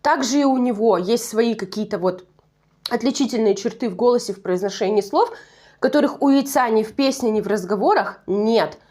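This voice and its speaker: Woman, Russian Far East accent, slightly high voice, casual review chat, digressive, self-correcting. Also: a little fast, dark tone. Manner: chatty, digressive, self-correcting, laughs at own slips. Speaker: nostalgic TV show reviewer. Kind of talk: casual solo review commentary.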